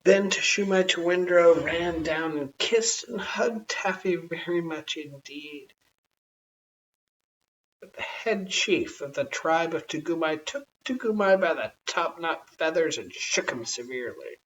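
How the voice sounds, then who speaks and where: Slow storybook reader, slightly distant. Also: medium pitch, lively intonation, a bit bright, lo-fi sound. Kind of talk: bedtime storybook narration.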